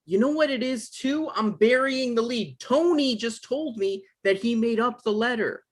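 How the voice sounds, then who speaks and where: Man playing whiny, argumentative male novelist, husky edge, quick to anger, table-read drama. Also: very high voice, lively intonation. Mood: sheepish. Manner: argumentative, exasperated. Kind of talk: live table read of a screenplay.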